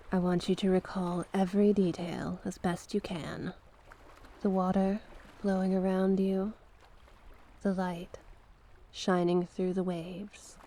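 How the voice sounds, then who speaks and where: A woman's voice: fairly low pitch, mellow. A woman voicing a mysterious older sea witch in an intimate ASMR roleplay, coaxing and theatrical in her delivery. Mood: pleased, guiding. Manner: slow, theatrical, coaxing, with terms of endearment.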